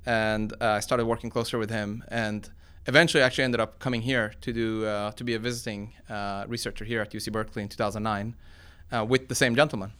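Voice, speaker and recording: A man's voice: on the low side, fairly even intonation, fast talker, few pauses, fairly bright tone, smooth. A man in his forties who was born in Iran and grew up in Sweden, giving conversational interview answers as a tech founder and researcher, in an informal explanatory way. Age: 40s. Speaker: tech company founder and computer science researcher being interviewed.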